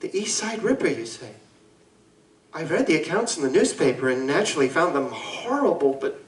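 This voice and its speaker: A man playing an anguished Victorian gentleman scientist in a live audio drama, speaking formally, eloquently and deliberately. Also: on the high side, sing-song. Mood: troubled, regretful. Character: anguished gentleman scientist confessing to a monstrous alter ego. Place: live convention performance in a room. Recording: roomy live stage recording, distant mic.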